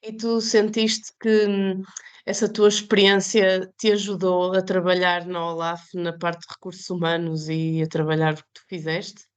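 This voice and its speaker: A woman's voice: on the low side, level tone, moderate pace, smooth. A woman interviewing a podcast guest in a conversational style, putting open questions to her.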